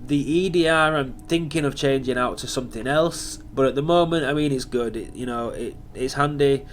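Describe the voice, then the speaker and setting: A man's voice: medium pitch, moderate pace, slight background noise. A man hosting a gaming channel, talking through a build in casual commentary, sounding nasal and sniffly from hay fever. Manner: chatty, explaining step by step.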